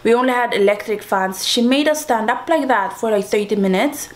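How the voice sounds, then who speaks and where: A young woman from Sweden tells a story to her viewers in a loose, chatty way, full of fillers, restarts and little laughs. Her voice sits a touch higher than most. She talks into a camera-mounted mic. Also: fairly quick. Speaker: vlogger. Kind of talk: casual storytelling mukbang vlog. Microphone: camera-mounted shotgun mic.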